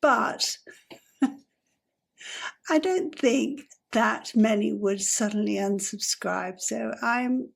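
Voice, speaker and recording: A woman's voice: fairly high pitch, gravelly, husky. A woman, chatting casually to camera on her own channel with a dry, self-deprecating, rambling manner. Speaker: YouTuber. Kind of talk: casual vlog chat to camera.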